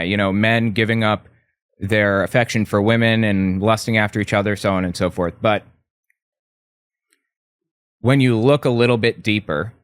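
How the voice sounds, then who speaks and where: Man, interview guest, author, fairly low voice, dry close sound, measured explanations. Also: no echo. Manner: measured, explanatory, with some hesitant restarts.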